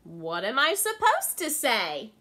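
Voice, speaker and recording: A woman voicing a calm, clever female little deer with a patient, playfully innocent manner. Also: fairly high pitch, takes it slowly, voice pushed up, exaggerated voice.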